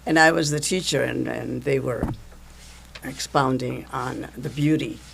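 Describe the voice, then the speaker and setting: Older woman, gravelly voice. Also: a bass voice, a little slow, slight background noise. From Italy. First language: Italian. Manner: lively storytelling, chuckles often. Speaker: guest, events coordinator. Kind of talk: casual interview chat.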